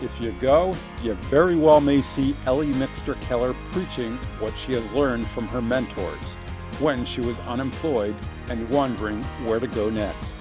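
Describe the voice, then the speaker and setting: A man reading measured documentary narration in a mid-range voice. Clear music runs under his words. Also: medium pitch, low-quality recording. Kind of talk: scripted narration.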